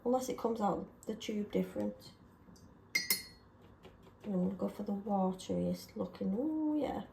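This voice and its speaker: A woman chatting casually and self-deprecatingly while testing paint colours, slightly hoarse from a sore throat. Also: medium pitch, a little slow, roomy sound, noisy background. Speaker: craft vlogger.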